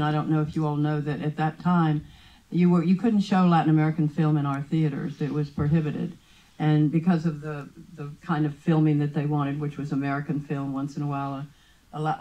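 An older woman, a film festival founder, recalling her early work in a thoughtful, unhurried way on a handheld mic at a seated panel. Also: sits low, mellow, dull audio. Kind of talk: panel talk, reminiscing. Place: event room with perforated wall panels.

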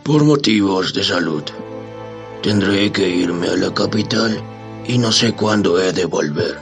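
A man's voice: low voice, animated, a little slow, vocal fry, over music, heavily acted. A man playing a courteous aging noble father in a historical audio drama, speaking formally.

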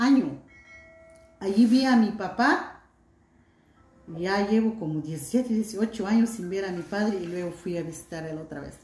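A woman's voice: medium pitch, mellow. Chatty woman vlogger in an echoey room. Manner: heartfelt, chatty, reads out viewer comments. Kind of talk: casual chat to viewers. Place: indoors at home, by a window.

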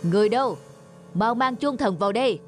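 A stern, shrewd magistrate acted by a woman in a cartoon folk tale. The delivery is formal and measured, in a fairly high voice with music behind it. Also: animated, darkish tone, natural voice. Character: stern, shrewd male magistrate of old Vietnam.

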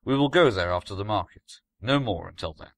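A man's voice: lowish voice, lively intonation, a little fast, fairly bright tone, no room sound. A man voicing a learned, mysterious countryman in a story, grave, knowing and emphatic.

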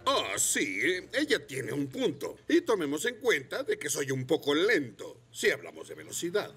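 A man voicing a big, muscular construction trike dad, gruff but amiable, in an animated children's dub. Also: fairly high pitch, moderate pace. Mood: earnest. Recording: cartoon music behind.

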